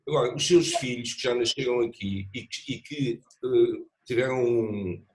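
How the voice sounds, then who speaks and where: A middle-aged man speaking in a panel discussion, measured and reflective, pausing often and restarting phrases as he builds his points. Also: mid-range voice, a little mellow, low-quality recording. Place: lives in Macau.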